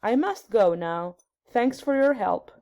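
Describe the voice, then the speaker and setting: A woman's voice: fairly high pitch, animated, slow pace, warm tone. A woman voicing a composed storybook queen, commanding and matter-of-fact.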